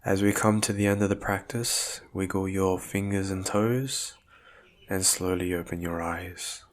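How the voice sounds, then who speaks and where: A man with a notably low voice narrates a guided meditation in the role of a physiotherapist. He speaks very slowly, setting out each instruction in short, measured phrases. The delivery is calm and soothing. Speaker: physiotherapist guiding a breathing exercise.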